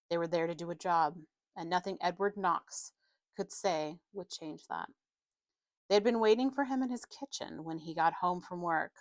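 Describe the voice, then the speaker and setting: A woman's voice: deep voice, no echo. A woman reading a horror novel aloud as an audiobook narrator, telling the story in a dramatic scripted style.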